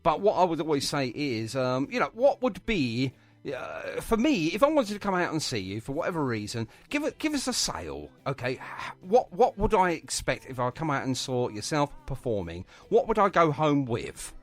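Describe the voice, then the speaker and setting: A man's voice: fairly high pitch, wildly swooping pitch, normal speed. A man hosting a casual radio interview, chatting in a wordy, encouraging way. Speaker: radio show host.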